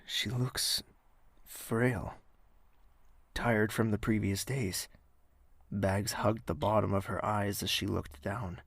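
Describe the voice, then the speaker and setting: An actor playing a courteous, quietly caring host and narrator with a husky, measured, dry delivery in an audio drama. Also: low voice, level tone, dry recording, full character voice. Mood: gentle, reflective.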